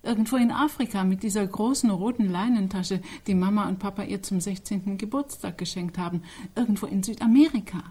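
Musing observer for radio play, actress: medium pitch, mellow husky voice, cool fascination. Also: female voice, fairly quick, natural voice. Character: musing female observer of photos, coolly fascinated. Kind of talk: German radio play, stylised dialogue.

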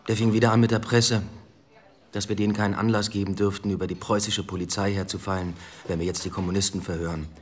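A deep male voice, an actor as a brooding police detective in a radio play. He sounds bitter, troubled and weighed down by guilt. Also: little pitch movement, quick pace, close to an everyday voice. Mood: bitter, agitated. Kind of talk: German radio drama acting.

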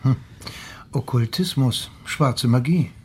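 An actor playing a learned, grumpy police inspector with a husky voice and a dry, sardonic manner in a radio crime drama. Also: male voice, mid-range voice, darkish tone, a little hiss or hum, close to an everyday voice. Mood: musing, sardonic. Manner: dry, grumbling, deliberate.

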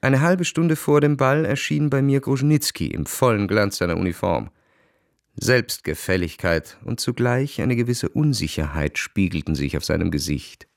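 An actor playing a cynical, self-possessed young aristocratic officer, narrating and speaking in a literary radio drama with a measured, detached manner. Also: male voice, mid-range voice, vocal fry, lightly acted. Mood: detached, reflective.

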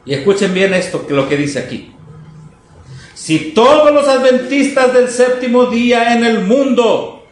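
A man with a high-pitched voice delivers a fervent sermon. He exhorts his listeners, throws out rhetorical questions and acts out their objections. The sound is heavily echoing, with some noise behind him. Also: animated, measured pace. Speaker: preacher. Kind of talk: fervent sermon to a congregation, reading quotes and commenting. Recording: echoey room, noisy background.

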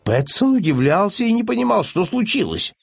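A man's voice: high voice, big pitch swings, a little fast, gravelly, husky, dry recording, faint music behind. A man reading a children's story audiobook as its narrator.

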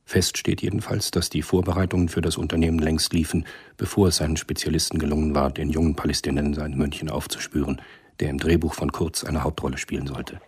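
Very deep-voiced male narrator. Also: level tone, quick pace, breathy edge. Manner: sober, matter-of-fact. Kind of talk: scripted radio drama narration.

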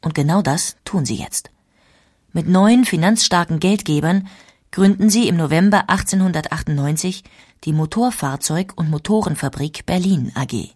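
A woman tells a historical story from a script, clear and composed. Her voice sits somewhat low for a woman and is recorded dry, with no room sound. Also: moderate pace, darkish tone, no echo. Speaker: narrator. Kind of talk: scripted documentary narration.

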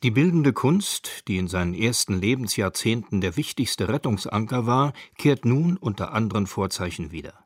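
A man reading scripted documentary narration for a radio feature in a measured, factual manner. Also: on the low side, expressive, breathy edge, no room sound, clean studio sound.

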